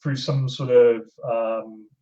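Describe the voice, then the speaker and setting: A podcast speaker chatting casually, haltingly searching for words. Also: mid-range voice, fairly even intonation, warm tone. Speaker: podcast co-host or guest. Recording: muffled lo-fi audio.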